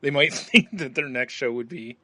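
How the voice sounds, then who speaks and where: A man's voice: medium pitch, normal speed, sharp tone, no room sound. An American man hosting a podcast in a casual, loose, rambling way. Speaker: podcast host. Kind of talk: casual chat, show plugs.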